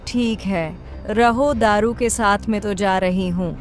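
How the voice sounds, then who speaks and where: A woman voicing a fed-up village wife and mother in a cartoon story, scolding and deliberate. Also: medium pitch, soft background music, close to an everyday voice. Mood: hurt, defiant. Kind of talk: Hindi cartoon story voice acting.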